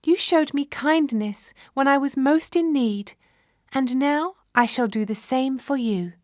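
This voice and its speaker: A woman's voice: sits high, a little slow, darkish tone, no echo, clean studio sound, voice pushed up. A woman voicing a grateful, caring male swallow in a fairy tale, speaking in an earnest, tender way. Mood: gentle, resolute.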